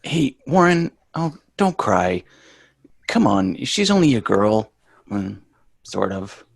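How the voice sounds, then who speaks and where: A man's voice: on the low side, sing-song, takes it slowly, creaky, no echo, heavily acted. Man playing a dry, exasperated schoolboy, closely miked. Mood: dry, consoling. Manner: dry, sarcastic, bossy. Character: exasperated, wisecracking schoolboy big brother. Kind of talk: live sitcom table read.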